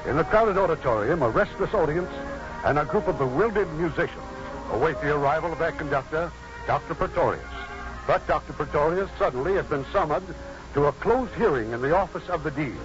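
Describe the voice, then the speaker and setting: Male radio play narrator, slightly high voice, scripted delivery over prominent music. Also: expressive, fairly quick. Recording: dull old radio recording with music.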